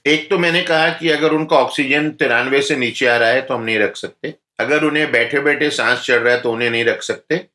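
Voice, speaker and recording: A man speaking as a doctor, giving calm, instructive health advice in an interview. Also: mid-range voice, brisk, hardly any pauses, reverberant.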